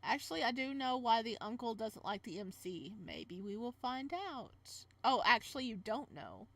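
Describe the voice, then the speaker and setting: A woman hosting a casual visual novel playthrough, reading narration aloud and adding playful, expressive side comments. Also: a little high, normal speed, dry recording. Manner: expressive, playful reactions between read passages.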